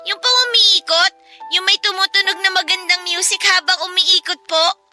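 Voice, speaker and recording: Radio drama role: eager, sweet young daughter, piping high and sharp, polite and coaxing. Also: female voice, moderate pace, faint music behind, exaggerated voice. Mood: eager, pleading. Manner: excitable, giggly, coaxing, politely childlike. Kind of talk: Filipino radio drama acting.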